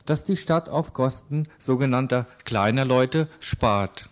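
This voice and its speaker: A man's voice: mid-range voice, unhurried. A man reporting for radio news in scripted narration.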